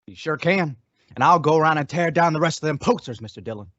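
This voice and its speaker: A man's voice: a little high, animated, fast, fairly bright tone, no room sound. A man acting a loyal, worried deputy sidekick with folksy rustic speech in an old-time radio western. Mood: earnest. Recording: dull, dry lo-fi audio.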